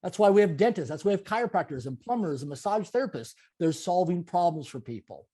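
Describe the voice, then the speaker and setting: Upbeat high-voiced man talking mindset. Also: animated, fast talker, warm tone, slightly rough. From Canada. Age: 40s. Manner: emphatic, repetitive motivational phrasing. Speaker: guest. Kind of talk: conversational interview.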